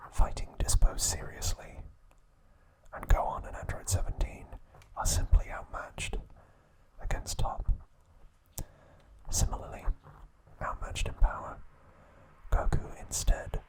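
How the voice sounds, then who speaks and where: A man whispering a calm ASMR recap, narrating softly with occasional fillers and self-corrections. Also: low-quality recording. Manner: soft, slow, unvoiced whispering close to the mic. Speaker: ASMR channel host.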